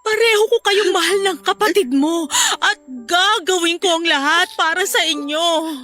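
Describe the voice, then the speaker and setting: A woman playing a protective, loving, excitable mother in a Filipino audio drama, speaking emphatically and emotionally. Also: very high voice, moderate pace. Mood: tearful, tender. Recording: music under the voice.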